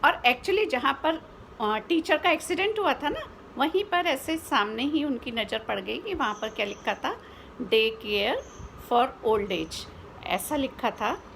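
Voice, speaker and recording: An Indian-accented woman with a high voice tells a story for children in an informal, spoken way. She explains as she goes, posing little questions and dropping in English words. A faint noise sits behind her voice. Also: expressive, slow pace, creaky, a bit bright, rough recording.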